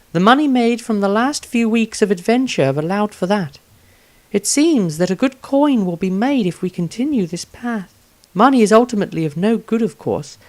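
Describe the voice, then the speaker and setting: A woman voicing an earnest, devout priestess adventurer in a fantasy audiobook, with measured delivery. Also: mid-range voice, darkish tone, lightly acted. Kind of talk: fantasy audiobook character reading.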